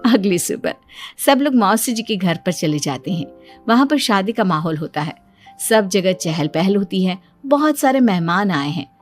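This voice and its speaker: A woman giving scripted narration for a Hindi cartoon story in an even storytelling delivery. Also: medium pitch, normal speed, soft background music.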